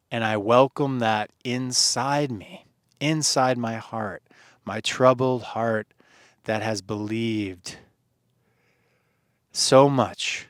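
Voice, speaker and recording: A man leading a guided meditation in a soothing, earnest manner, phrasing deliberately with pauses and gentle encouragement. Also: on the low side, dry recording, clean studio sound.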